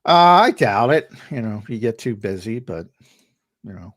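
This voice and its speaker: A man on a sports talk show, his voice a little hoarse and worn. He argues with emphasis and often stumbles into a point by repeating its first words. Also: on the low side, very animated, normal speed, a bit bright. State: losing his voice. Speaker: co-host and analyst.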